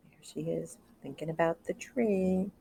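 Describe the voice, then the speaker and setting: Low-voiced woman reading aloud to children. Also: a little slow, vocal fry, a little hiss or hum. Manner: expressive, asks listeners questions. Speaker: educator reading a picture book aloud. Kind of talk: read-aloud storytelling with commentary for children.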